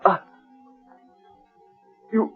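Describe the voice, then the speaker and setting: An actor playing a frightened, agitated man in an old-time radio horror drama, speaking haltingly and insistently. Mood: alarmed. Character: frightened, panicky man named Crane, lost in dark forest. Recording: dull vintage radio recording with music.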